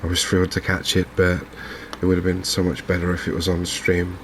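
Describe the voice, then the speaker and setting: A man speaking softly and unhurriedly in an ASMR style, murmuring his way through video game commentary as a streamer. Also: sits low, completely flat delivery, a little hiss or hum. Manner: soft-spoken, unhurried, murmuring to himself.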